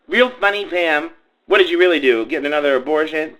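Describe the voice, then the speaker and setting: Man as a shrill, melodramatic Southern pageant queen. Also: sharp tone. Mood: bitter. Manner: theatrical, gushing, shrieking when upset. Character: vain, melodramatic Southern pageant queen, young woman, easily enraged. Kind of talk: comic radio play acting.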